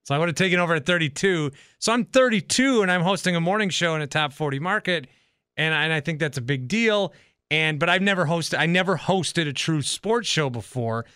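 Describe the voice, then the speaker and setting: A man's voice: on the high side, expressive, fairly quick, pushed and bright, smooth, no echo, clean studio sound. A man in his thirties hosting a casual podcast interview, talking in a rambling, self-correcting way. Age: 30s. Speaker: podcast host and radio host.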